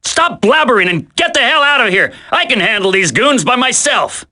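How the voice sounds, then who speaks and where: Cocky grumbly game commando, brash, punchy bright voice, super animated. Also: male voice, extremely high pitch, normal speed, exaggerated voice. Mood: urgent. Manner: brash, colloquial. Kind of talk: dramatic video game voice acting.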